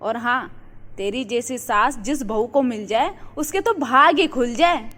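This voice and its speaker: In a long, echoing reverb, a high-voiced neighbourhood housewife from a cartoon moral tale. She is gossipy and effusive, laying on the flattery. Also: a little slow. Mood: gushing praise. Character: gushing, flattering neighbourhood housewife, friend of the mother-in-law. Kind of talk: Hindi moral-story cartoon acting.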